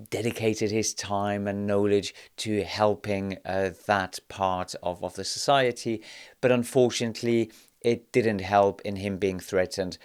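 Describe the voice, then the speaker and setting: A man in his thirties from South Africa and Poland, hosting a podcast and telling his life story in a measured, reflective way, pausing often on "uh". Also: fairly low pitch, a little mellow, clean tone. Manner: measured, reflective, with frequent "uh" pauses. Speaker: podcast host. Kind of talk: personal storytelling monologue.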